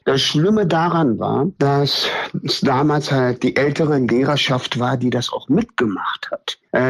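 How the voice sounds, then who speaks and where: A polite man in his fifties from Berlin, a podcast guest telling his life story in a measured, formal way. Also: mid-range voice, husky. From Berlin, Germany. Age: 50s. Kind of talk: reflective personal storytelling.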